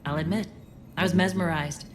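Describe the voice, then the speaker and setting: A woman playing a guarded, sincere alien resistance leader in a video game, speaking in a measured, reflective way. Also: on the low side, fairly even intonation, slight background noise, natural voice. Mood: wistful, reflective. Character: earnest, guarded female alien resistance leader, haunted veteran.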